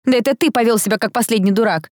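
Casting: woman narrator as huffy, bossy girl in her youth, raised pitch, scolding delivery, storybook. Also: very fast, a little mellow, no room sound, studio quality, close to an everyday voice. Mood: indignant scolding. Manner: snappy, huffy, high-pitched. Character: sharp-tongued, bossy young woman scolding her companion.

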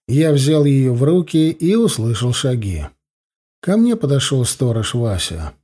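A man reading a first-person audiobook in a husky, mellow voice. His pitch rises and falls in a lively way as he tells the story. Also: a little slow. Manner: storytelling, with a lively and expressive delivery. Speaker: audiobook narrator.

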